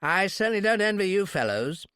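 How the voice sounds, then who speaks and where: A man's voice: sits high, big pitch swings, brisk, pushed and bright, smooth, no echo, clean studio sound, voice pushed up, heavily acted. A man voicing a fussy, over-polite English private secretary with an exaggerated upper-class accent, in an audiobook. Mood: airy, hesitant. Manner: pompous, airy, over-polite. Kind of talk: audiobook character voice.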